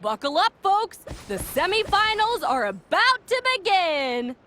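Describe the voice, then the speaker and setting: Peppy contest announcer, high, bright, lively, showy patter. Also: female voice, measured pace, very noisy, exaggerated voice.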